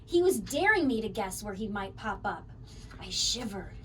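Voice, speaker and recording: A woman reading a children's story aloud in an expressive, dramatic way. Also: mid-range voice, moderate pace, far from the mic, slight background noise. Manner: animated, dramatic. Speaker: storybook reader.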